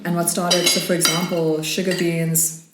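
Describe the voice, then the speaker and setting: A South African woman in her thirties speaks in a slightly low register. She discusses things casually and reflectively in an echoey room, with plates and cutlery clattering clearly behind her. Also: level tone, normal speed, very noisy. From northern KwaZulu-Natal, South Africa. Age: 30s. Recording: roomy sound, loud clatter of dishes. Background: clinking cutlery and dishes.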